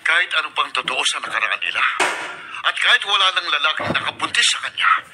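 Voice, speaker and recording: A Filipino actor playing a cold, controlling, jealous husband, with domineering and threatening delivery in a radio drama. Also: male voice, fairly high pitch, big pitch swings, fast, noisy background. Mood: bitter. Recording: radio drama with music underneath.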